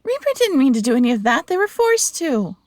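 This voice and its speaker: A woman's voice: sits high, sing-song, fairly quick, dry recording. A woman playing a loyal, feisty young fox hybrid, earnest and protective, in a fantasy audio roleplay. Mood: defensive. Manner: earnest, defiant, pleading.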